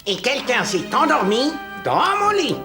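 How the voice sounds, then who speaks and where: A woman voicing a small, stubborn, grumbling male cartoon dwarf with a gravelly voice. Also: on the high side, sing-song, a little slow. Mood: indignant. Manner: nagging, hesitant, repeats himself. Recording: music under the voice.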